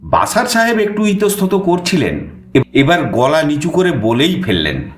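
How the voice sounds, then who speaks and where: Animated, eager young reporter narrating, Kolkata Bengali. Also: male voice, a little high, a little slow, reverberant. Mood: animated storytelling. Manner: expressive, eager. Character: eager, nervous young male junior reporter. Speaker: narrator and young journalist character. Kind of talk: dramatized first-person story narration.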